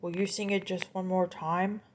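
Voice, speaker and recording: A woman's voice: lowish voice, little pitch movement, normal speed, slight background noise, lightly acted. A woman voicing an eager, forgetful male coyote in a children's story, pleading and grumbling by turns.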